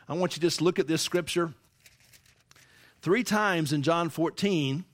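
A man's voice: a little high, no echo, clean studio sound. A man preaching a sermon, teaching emphatically and asking the congregation rhetorical questions. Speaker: pastor.

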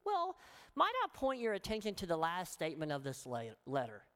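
A woman's voice: fairly low pitch, wildly swooping pitch, fairly quick, fairly bright tone. Southern woman preaching in a reverberant hall. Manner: earnest, emphatic preaching with rhetorical questions. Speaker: pastor. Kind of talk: sermon.

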